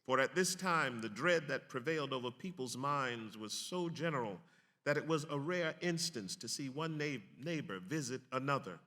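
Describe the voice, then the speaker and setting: A man's voice: mid-range voice, animated, moderate pace, pushed and bright. A man giving a grave, declamatory dramatic reading of a historical text, as an actor on a stage. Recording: echoey hall with long reverb.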